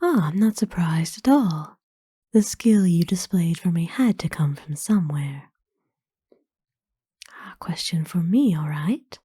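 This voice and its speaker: Casting: woman as ancient vampire noblewoman for ASMR roleplay, very dark soft tone, breathy whisper, kind and formal, unhurried. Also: on the low side, lively intonation, no echo, clean studio sound, exaggerated voice. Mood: curious, soft. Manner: formal, slow, tender, hesitant pauses. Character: kind, courtly centuries-old female vampire owner, gently doting. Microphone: close dry microphone.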